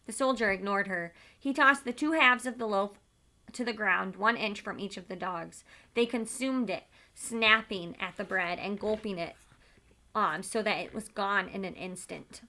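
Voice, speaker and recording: A woman teacher speaks at a medium pitch with a bright, forward tone. Her delivery moves between informal talk to pupils and storybook read-aloud. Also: normal speed.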